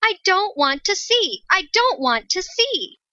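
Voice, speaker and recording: A woman acts a petulant, imperious young lady in a stage farce. Her voice is high and piercing, curt in delivery, on a slightly dull, dry recording. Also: big pitch swings, unhurried, bright, forceful tone, no echo, exaggerated voice. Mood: petulant, impatient. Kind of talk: comic stage-play acting.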